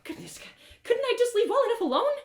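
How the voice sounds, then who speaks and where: A woman's voice: very high-pitched, big pitch swings, quick pace, voice pushed up, full character voice. A woman voicing a kind-hearted, plucky young female adventurer with an earnest, flustered, stammering manner, in a storybook reading. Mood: nervous, flustered. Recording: roomy echoing sound.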